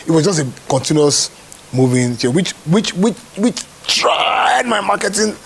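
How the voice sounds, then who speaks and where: A man's voice: fairly high pitch, very animated, measured pace, vocal fry, a bit bright, slight background noise. A Nigerian man talking as a show producer in an interview, often checking that the listener follows. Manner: repeats check-in questions to the listener. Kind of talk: animated spoken interview.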